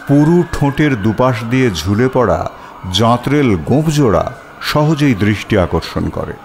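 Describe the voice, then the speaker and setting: Animated male detective-story narrator. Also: mid-range voice, slight background noise. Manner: expressive storytelling, descriptive.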